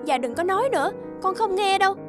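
A woman voicing an arrogant, boastful young peasant woman in an animated fairy tale, haughty and scornful. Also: extremely high pitch, fast, music in the background.

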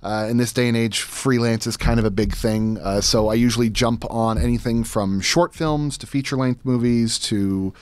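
Man, thirties, casual interview guest, halting explanations, close mic, very dry sound. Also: mid-range voice, smooth, dry recording. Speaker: guest, editor and sound designer. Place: indoor podcast studio. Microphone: close studio microphone on a boom.

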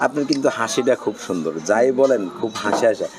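A man's voice: medium pitch, animated, fast. A man with a Bangladeshi accent conducting an informal interview, asking questions and repeating the answers back. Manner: echoes the guest's answers. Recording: clearly noisy background.

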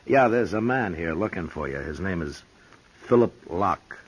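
A gruff, laconic frontier lawman in an old radio western. The American voice is low and husky, steady and full of authority. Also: moderate pace, low-quality recording. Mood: measured, cautious. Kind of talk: 1950s radio western acting. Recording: 1950s radio drama recording.

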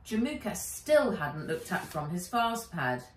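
A woman's voice: lowish voice, big pitch swings, unhurried. A middle-aged British woman, an author reading her storybook aloud to children. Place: bright room by garden windows, indoors. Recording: roomy, a little distant from mic.

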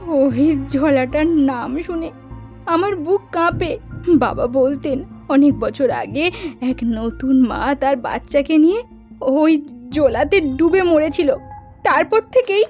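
An actress voicing a frightened village wife in a cartoon, pleading in an anxious, tearful manner. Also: female voice, high voice, takes it slowly, a little mellow, faint music behind. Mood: fearful. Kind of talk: cartoon voice acting.

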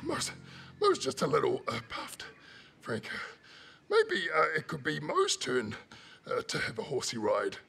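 A man with a New Zealand accent voicing a friendly, childlike cartoon monster, gravelly and hesitant. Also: a little high, huge pitch swings, measured pace, bright, forceful tone, soft background music, heavily acted. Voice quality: gravelly, husky. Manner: hesitant, simple, refers to self by name. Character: friendly, wide-eyed furry monster, childlike and eager. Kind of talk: preschool cartoon voice acting.

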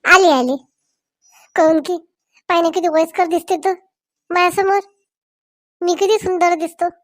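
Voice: extremely high pitch, fairly even intonation, moderate pace, dry recording, clean studio sound. A performer voicing an outspoken young village woman in a comedy cartoon, blunt and chatty. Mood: cheeky, chatty. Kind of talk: Marathi comedy cartoon dialogue.

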